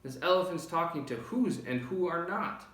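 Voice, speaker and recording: A man's voice: on the high side, lively intonation, normal speed, voice pushed up. Man as scoffing, bullying jungle monkeys, roomy. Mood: scornful. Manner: shouting, bullying. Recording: roomy, distant microphone.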